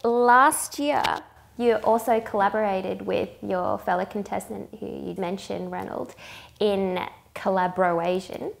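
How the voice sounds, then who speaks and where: Creaky-voiced woman interviewer. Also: mid-range voice, steady tone, a little slow. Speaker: interviewer, journalist. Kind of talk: prepared interview questions.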